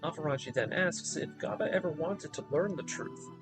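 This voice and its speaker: A man giving a scripted story recap in a measured, explanatory storytelling manner, as the narrator of a lore video. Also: a little high, vocal fry, music in the background.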